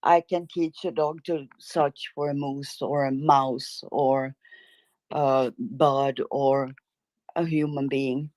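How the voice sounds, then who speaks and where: A woman's voice: very deep voice, steady tone, slow, a little mellow, no echo. A middle-aged woman from northern Sweden with a Swedish accent, calling in and speaking careful, hesitant English as a non-native speaker. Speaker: caller. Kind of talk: casual chat.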